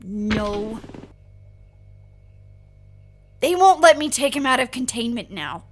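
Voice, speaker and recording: Young female agent, high-pitched, earnest and unsure of herself, informal and emotional delivery for audio drama actress. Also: lively intonation, normal speed, a little hiss or hum, close to an everyday voice. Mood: upset, defensive. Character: young woman agent, insecure, earnest, fond of creatures. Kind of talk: naturalistic audio drama acting.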